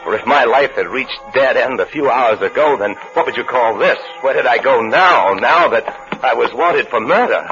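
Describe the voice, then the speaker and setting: A man's voice: mid-range voice, lively intonation, normal speed, few pauses, a bit bright. An actor playing a brooding, desperate man on the run for murder, narrating his own story in a 1950s radio suspense drama. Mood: grim, desperate. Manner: brooding, fatalistic first-person storytelling. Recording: muffled vintage radio recording with music.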